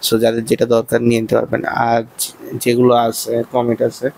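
A man's voice: medium pitch, monotone, normal speed, vocal fry, a little mellow, poor audio. A man with a Bangladeshi accent presenting goods in a promotional shop-tour commentary, with repetitive sales patter. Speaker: presenter showing fish for sale.

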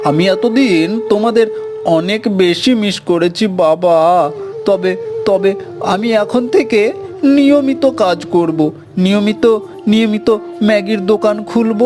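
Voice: medium pitch, measured pace, natural voice. A performer voicing an eager, sincere young son who sells noodles in a cartoon, speaking emphatically and repeating phrases. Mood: earnest, emotional. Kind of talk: cartoon voice acting with singsong hawking. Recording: music under the voice.

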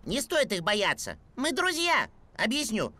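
A man voicing a high, bouncy green cartoon creature. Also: wildly swooping pitch, measured pace, pushed and bright, heavily acted. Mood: excited. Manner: bouncy, exclamatory, eager. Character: small green wish-granting creature, fussy and excitable. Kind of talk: cartoon dub acting.

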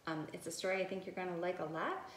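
A woman, a preschool teacher, reading aloud and talking to young children with simple, expressive phrasing. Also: lowish voice, fast talker. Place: indoor room with tiled wall. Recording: echoey room with noticeable hiss.

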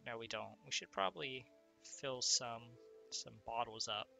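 A man's voice: mid-range voice, animated, unhurried, vocal fry, sharp tone. Congested man chatting over game music. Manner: chatty, thinks aloud, asks himself questions. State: stuffed up, congested. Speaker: let's play gamer. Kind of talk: casual gameplay commentary.

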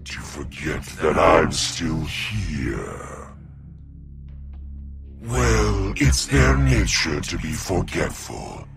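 Menacing old evil game villain, deep voice that swoops around, big music behind. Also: male voice, big pitch swings, full character voice. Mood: commanding fury. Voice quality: gravelly. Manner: slow, menacing, theatrical. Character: sinister vengeful ancient male villain, scornful and commanding. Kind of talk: dramatic video game villain acting. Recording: loud music underneath.

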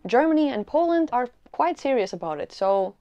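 A woman's voice: high-pitched, expressive, brisk, dark tone, no room sound, dull audio. A young woman from Europe presenting a scripted explainer video in a dry, teasing, matter-of-fact way.

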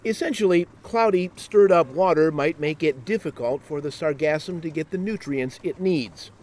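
A man giving a scripted, measured narration for a science news segment. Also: fairly high pitch, darkish tone, a little hiss or hum.

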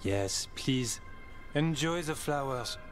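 Gentle, lilting young elf warden. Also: male voice, mid-range voice, expressive, slow pace, soft background music. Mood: hopeful. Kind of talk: video game voice acting.

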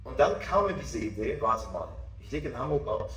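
A man in his twenties, a DJ, talking about his music in a relaxed, self-assured way during a casual interview. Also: mid-range voice, normal speed, distant mic. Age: 20s. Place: small backstage room with sofa, indoors. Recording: echoey room, voice off-mic.

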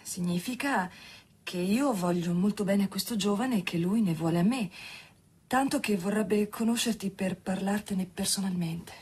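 An actress playing an earnest, determined young student daughter in love, in an Italian radio drama. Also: female voice, mid-range voice, normal speed, a little mellow. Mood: hesitant, earnest. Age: young adult. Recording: radio drama with clear ambient noise.

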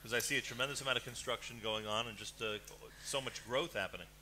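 A middle-aged man hosting a live radio show, enthusiastic and animated, speaking into a headset mic in a broadcast booth. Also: medium pitch, fast talker, a little hiss or hum. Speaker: radio host.

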